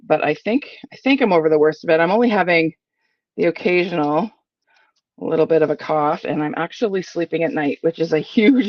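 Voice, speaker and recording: A chatty, enthusiastic middle-aged woman walks viewers through a craft project, full of fillers and asides. Her voice is a little croaky from a cold. The sound is dry and a bit dull, as if from a modest home setup. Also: on the low side, dry recording. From Ontario, Canada. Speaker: papercraft demonstrator. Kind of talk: live crafting tutorial.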